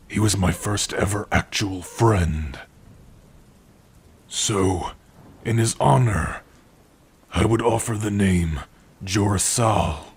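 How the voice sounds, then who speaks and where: A man playing a gentle, proud orc warrior and blacksmith in an intimate ASMR roleplay, speaking tenderly in a husky, breathy voice with long pauses. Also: on the low side, heavily acted. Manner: slow, tender, measured delivery with long pauses. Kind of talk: ASMR roleplay, intimate second-person acting.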